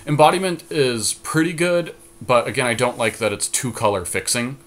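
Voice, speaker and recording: A man's voice: medium pitch, lively intonation, normal speed, a bit bright. A man co-hosting a podcast, chatting casually about card game strategy and thinking his choices through aloud. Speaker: podcast co-host.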